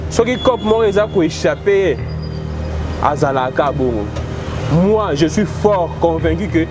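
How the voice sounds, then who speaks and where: A man's voice: fairly high pitch, animated, a little slow, over music. A man with a Congolese French accent giving forthright, impassioned political commentary as a guest, switching between two languages.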